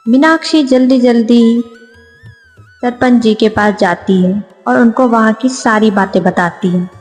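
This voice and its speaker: A woman's voice: fairly high pitch, a little slow. A woman narrating a scripted moral story for a cartoon. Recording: long reverb, music behind.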